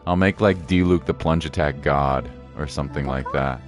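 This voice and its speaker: A man's voice: very deep voice, normal speed. A man streaming a game, chatting casually in a slangy, jokey, rambling way. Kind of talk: casual livestream chat over gameplay. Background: game music.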